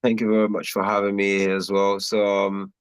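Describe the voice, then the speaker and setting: A young man from Nigeria, a guest musician, chatting in a relaxed, slangy way and laughing often. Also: fairly low pitch, brisk, clean tone, no echo. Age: young adult. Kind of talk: casual interview chat.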